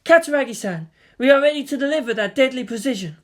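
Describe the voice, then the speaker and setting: Woman as earnest young male technician, raised pitch. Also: animated, fast. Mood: resolute. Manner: crisp military reporting.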